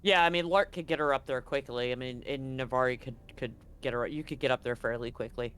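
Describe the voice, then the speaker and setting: A man's voice: medium pitch, a little fast, fairly bright tone, slight background noise. A man acting as game master, casually narrating a tabletop roleplaying game with bantering, rambling asides.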